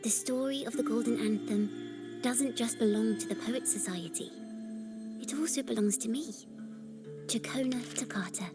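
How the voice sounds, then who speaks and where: An actress playing an earnest, poetic young woman in a video game, telling her story with gentle, solemn theatricality. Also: a little high, normal speed, natural voice. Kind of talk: dramatic video game narration. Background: clear background music.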